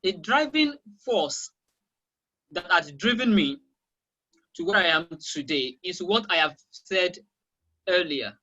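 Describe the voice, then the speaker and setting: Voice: medium pitch, expressive, slow, long pauses, sharp tone, lo-fi sound. A teacher from Nigeria with a Nigerian accent, a Yoruba speaker, answering interview questions emphatically and repeating key phrases over a video call. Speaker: guest teacher of English.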